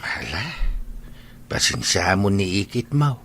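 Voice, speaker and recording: A man playing a mild, older father who speaks calmly and gently admonishes in a radio drama. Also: sits low, slow, a little hiss or hum, exaggerated voice. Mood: calm, conciliatory.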